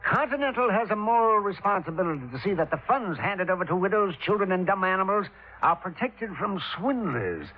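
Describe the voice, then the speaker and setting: An actor playing a fussy, folksy, pompous older gentleman in a 1950s radio drama. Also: sits high, lively intonation, brisk. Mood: indignant, pompous. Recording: muffled, noisy vintage radio recording.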